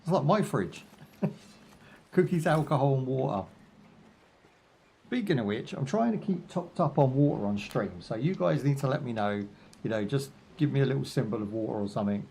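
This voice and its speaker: A young British man talks his way through a live game stream, drifting between jokes and side stories with plenty of ums and you-knows. His voice sits at an ordinary middle pitch. A faint hiss of background noise runs under the desk mic. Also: lively intonation, normal speed, gravelly, mellow. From United Kingdom. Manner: chatty, rambling asides with jokes and fillers. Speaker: gaming streamer. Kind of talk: casual live gameplay commentary.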